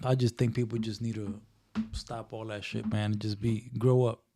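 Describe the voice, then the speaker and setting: A man's voice: lowish voice, flat delivery, moderate pace, mellow, a little hiss or hum. A man from the Dominican Republic, co-hosting a casual podcast in an easygoing, emphatic, joking way. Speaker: podcast co-host. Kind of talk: casual chat.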